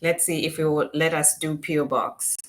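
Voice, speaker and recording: Low-voiced Kenyan woman explaining step by step. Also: steady tone, normal speed. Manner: explanatory, repeats and rephrases points. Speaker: tutorial presenter. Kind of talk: informal step-by-step screen walkthrough.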